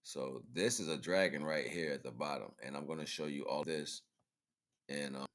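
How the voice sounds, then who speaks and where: Very deep-voiced man, casual livestream talk. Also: moderate pace, fairly bright tone. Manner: informal, rambling, repeatedly checking in with "right?" and "you know what I'm saying". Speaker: livestream host. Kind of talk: casual livestream commentary on pictures.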